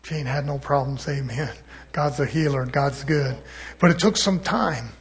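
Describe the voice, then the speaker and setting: A middle-aged man, a pastor giving a testimony sermon in church, rising to loud exclamations of praise. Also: mid-range voice, normal speed, slightly rough.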